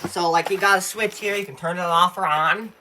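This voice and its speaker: A man's voice: high voice, fairly even intonation, brisk. A man giving a casual hands-on tutorial in an informal, folksy, rambling way, recorded on a handheld camera. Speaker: tutorial presenter. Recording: clear background noise, handling clicks.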